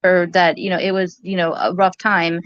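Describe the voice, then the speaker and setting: Woman, low register, soft delivery, hesitant casual monologue, dry muffled audio. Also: little pitch movement, dry recording. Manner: hesitant, halting, rambling. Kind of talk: casual spoken vlog.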